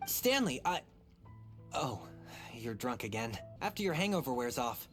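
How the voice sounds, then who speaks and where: A woman's voice: very deep voice, a little slow, soft background music, full character voice. A woman voicing an earnest, eager young lad who wants to be an adventurer, in a video game. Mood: modest, fond. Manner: earnest, expressive.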